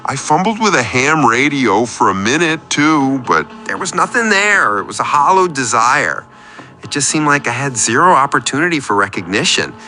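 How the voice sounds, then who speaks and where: A man's voice: mid-range voice, sing-song, moderate pace, bright, forceful tone, faint music behind. An actor playing a needy, self-pitying man calling a radio show, with a confessional, theatrical and wordy storytelling manner. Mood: wounded pleading. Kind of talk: scripted comedic radio play monologue.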